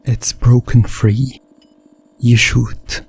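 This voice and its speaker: A man narrating a hypnosis ASMR story in the second person, in a deliberate, suspenseful way. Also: medium pitch, little pitch movement, creaky, darkish tone, faint music behind.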